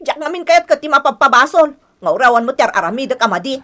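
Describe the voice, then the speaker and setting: A woman's voice: high voice, big pitch swings, quick pace, fairly bright tone. A woman playing an aggrieved, defensive young wife and stepmother in a radio drama, with a plaintive, emotional delivery. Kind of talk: Ilocano radio drama acting.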